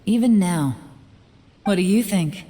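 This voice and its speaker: A woman's voice: medium pitch, lively intonation, measured pace, dark tone, natural voice. An actress playing a cool, confident female investigator with a dry, teasing authority in a video game cutscene. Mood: stern, reproachful. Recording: long reverb.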